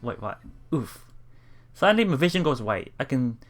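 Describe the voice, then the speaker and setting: A man's voice: medium pitch, sing-song, normal speed, faint music behind. A man reading a game aloud as a let's play streamer, reacting casually and repeating phrases as he puzzles things out.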